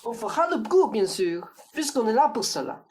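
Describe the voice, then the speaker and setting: A woman's voice: on the high side, very animated, moderate pace, darkish tone, a little hiss or hum. A young woman playing a matter-of-fact, scheming male accomplice in a student radio play. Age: young adult. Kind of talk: theatrical radio-play acting.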